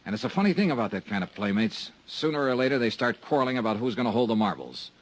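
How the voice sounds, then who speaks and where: A man's voice: mid-range voice, very animated, fast, rough recording. An actor playing a terse, hard-boiled insurance investigator who narrates his own case in a 1950s radio drama.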